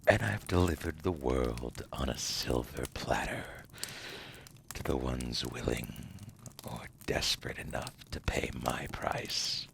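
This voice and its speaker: Man acting an extremely deep, gravelly seductive demon. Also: fairly bright tone, a little hiss or hum, full character voice. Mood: mocking, amused. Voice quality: gravelly, breathy half-whisper. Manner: slow, smug, silky, theatrical. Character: seductive, condescending male demon dealmaker, suave otherworldly banker. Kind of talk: ASMR roleplay monologue.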